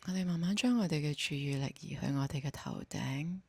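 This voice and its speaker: Soft, breathy, low-pitched woman guiding meditation, Hong Kong accent. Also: dry recording. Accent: Hong Kong Cantonese. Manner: slow, calm, gently instructing. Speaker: meditation guide. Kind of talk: guided meditation narration.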